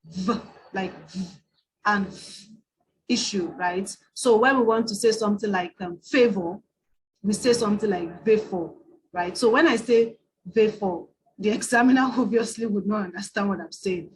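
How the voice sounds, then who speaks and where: A woman's voice: mid-range voice, moderate pace, poor audio. A Nigerian woman, an exam trainer, teaching a webinar in a conversational way that often ends points with a tag question, over a computer mic. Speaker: IELTS trainer. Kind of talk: webinar lecture.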